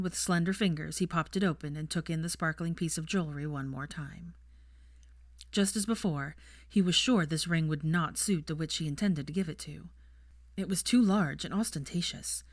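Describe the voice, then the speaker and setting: A woman's voice: low voice, no echo, clean studio sound. A woman reading fiction aloud as a scripted story narration.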